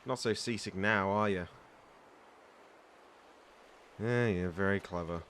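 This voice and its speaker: A man's voice: deep voice, moderate pace, a bit bright, a little hiss or hum. A man playing a boastful, good-humored veteran pirate and sea-beast hunter in a storytelling roleplay audio drama. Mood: teasing, casual. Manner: bragging, teasing, theatrical storyteller with hesitant asides. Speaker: voice actor reading a script.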